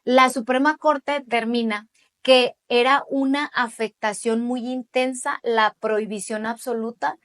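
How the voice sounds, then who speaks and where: Woman, late 30s, Mexican accent, slightly high voice, emphatic explainer in an interview. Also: steady tone, unhurried, a bit bright. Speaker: interviewee, judicial candidate.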